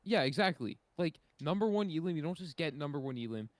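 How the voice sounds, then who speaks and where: Excitable slangy man, close dry sound. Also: fairly high pitch, fast, dry recording. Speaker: podcast panelist. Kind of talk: casual chat.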